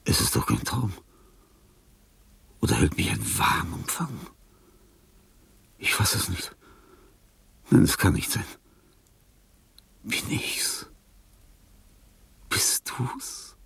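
Casting: frail dying old artist, radio drama. Husky and creaky, delirious, pleading, halting delivery. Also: male voice, low voice, sing-song, a bit bright, heavily acted. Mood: dazed tender wonder. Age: older. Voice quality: husky, breathy, breaking voice. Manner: halting fragments, whispers rising to frantic cries. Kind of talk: German radio play acting.

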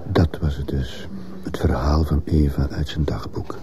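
Brooding schoolteacher, very low gravelly voice, sombre, confessional, noisy old radio play. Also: male voice, steady tone, measured pace. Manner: sombre, introspective. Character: guilt-ridden male schoolteacher, confessing, brooding narrator. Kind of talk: radio drama narration and acting.

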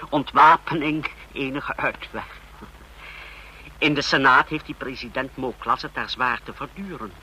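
Male intelligence chief serving a dictator, very bright forceful voice, formal and servile, noisy old radio recording. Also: mid-range voice, steady tone, a little slow, pauses often. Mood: eager, emphatic. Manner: formal, deferential, emphatic when reporting. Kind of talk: old radio play acting.

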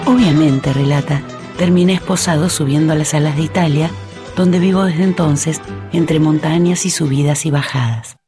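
A woman reading a listener's letter aloud on the radio in a measured, clear way. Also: low voice, music in the background.

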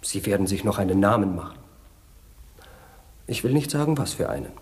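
An actor playing a sardonic, eloquent English gentleman who taunts with irony in a German radio play. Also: on the low side, expressive, brisk. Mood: mocking. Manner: ironic, mocking, eloquent.

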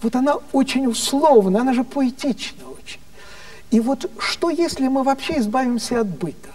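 Genteel male director acted by a male storyteller, raised high voice, eager improvising pitch, distant microphone. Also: expressive, moderate pace, darkish tone. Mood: inspired, coaxing.

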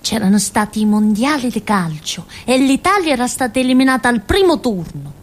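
A woman's voice: on the high side, sing-song, brisk. Naive Calabrian village fool girl. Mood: candid. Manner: childlike, colloquial storytelling with frequent giggles and asides. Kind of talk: theatrical monologue for radio.

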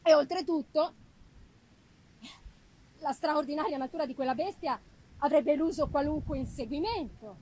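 A woman performing an anguished, haunted young male scientist in a dramatic, halting gothic literary reading, emphasizing and repeating words. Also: high-pitched, a little mellow, close to an everyday voice, low-quality recording. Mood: grave, haunted.

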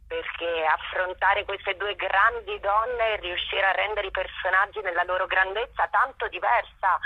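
A woman's voice: medium pitch, creaky, breathy edge, no echo. A woman, an actress, speaking as a guest in a conversational interview over a telephone line.

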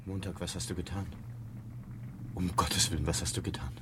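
Low-voiced appalled fireman, played by an actor. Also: steady tone, moderate pace, background noise, lightly acted. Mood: horrified. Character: appalled male fireman colleague. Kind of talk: 1970 German radio play acting.

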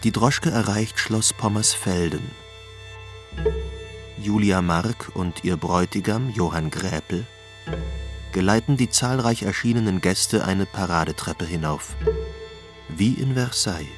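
Low-pitched male radio-play narrator. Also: unhurried, long pauses, faint music behind. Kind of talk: scripted literary narration for radio drama.

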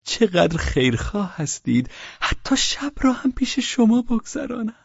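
A man's voice: sits high, big pitch swings, creaky, slightly rough, dry recording, dull audio, pitched above the performer's own voice, full character voice. A man voicing a fawning, nervous middle-aged father with a raised, wheedling voice.

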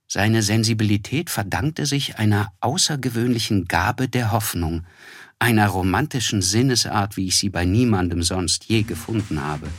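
An actor playing a reflective, disillusioned man who narrates his past in a literary radio play, in a measured way. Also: on the low side, a bit bright, no room sound, clean studio sound, heavily acted. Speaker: first-person narrator.

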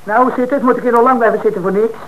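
A man's voice: extremely high pitch, fairly even intonation, racing, phone-quality sound. An actor playing a defiant male suspect who protests his innocence under interrogation in a radio drama. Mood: indignant.

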